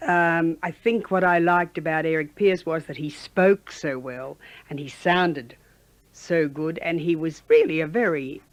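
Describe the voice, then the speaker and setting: A woman's voice: deep voice, fairly even intonation, measured pace, no room sound. A woman, a former radio announcer, chattily recalling her early career in an interview. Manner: rambling, conversational recollection.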